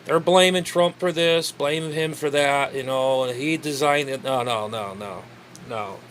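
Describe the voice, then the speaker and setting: An average-pitched man talks in a loose, blunt, rambling way, like a hobbyist chatting to viewers from home. There is faint noise behind the voice. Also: a little slow, fairly bright tone. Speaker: amateur radio hobbyist video host. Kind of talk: casual rambling vlog chat. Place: messy home radio shack, indoors.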